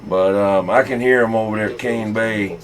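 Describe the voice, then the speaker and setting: A man with a Southern US accent telling folksy, halting, rambling stories in casual talk over CB radio. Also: on the low side, fairly even intonation, crowd noise. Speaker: CB radio operator.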